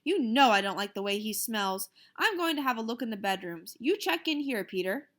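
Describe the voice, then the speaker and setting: A woman's voice: on the high side, animated, brisk, fairly bright tone. A woman voicing a worried, fussy mother who talks in a fretful, exasperated way.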